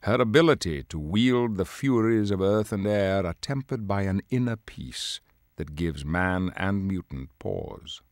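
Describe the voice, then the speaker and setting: A man's voice: low voice, lively intonation, measured pace, dry recording, clean studio sound. A man playing a grave, dignified leader of superhero mutants, delivering solemn, portentous dramatic narration for a video game. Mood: grave, measured. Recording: music under the voice. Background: music.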